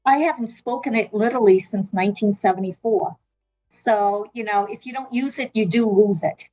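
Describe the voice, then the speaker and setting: A woman's voice: medium pitch, moderate pace, mellow, soft background music. Earnest middle-aged woman, muffled recording. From South Africa. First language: Portuguese. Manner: earnest, with frequent fillers and restarts. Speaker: interviewee, medical interpreting leader. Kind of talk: reflective personal storytelling.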